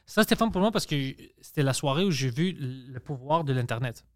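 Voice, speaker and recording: Sweary bilingual comic host, halting accented Quebec French. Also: male voice, medium pitch, lively intonation, dry recording, clean studio sound. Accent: non-native Quebec French with English mixed in. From Montreal, Canada. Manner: sweary, halting French peppered with English slang. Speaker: podcast host and stand-up comedian. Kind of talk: casual podcast chat.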